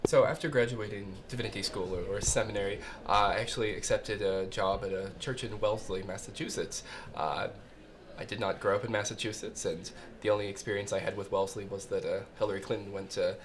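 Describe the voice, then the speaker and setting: A man's voice: fairly low pitch, fairly even intonation, a little fast, a little hiss or hum. A man from Ohio who serves as a state representative, telling his story fluently and conversationally in a documentary interview. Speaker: state representative, former minister.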